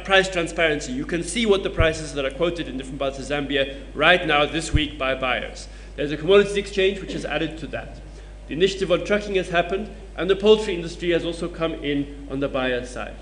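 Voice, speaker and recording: Man giving a measured panel talk. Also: fairly high pitch, a bit bright, a little hiss or hum. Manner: explanatory, builds points through case studies. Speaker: panelist presenting research.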